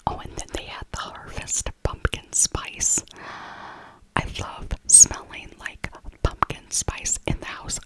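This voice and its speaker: A woman speaking in a breathy whisper, narrating casually in ASMR style and softly repeating her phrases. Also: rough recording. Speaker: ASMR video creator narrating a shopping trip.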